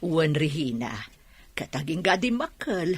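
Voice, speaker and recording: An actress playing a gentle elderly grandmother in a radio drama, speaking tenderly and expressively. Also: sits low, sing-song, a little slow, slightly rough, no echo, exaggerated voice. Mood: fond.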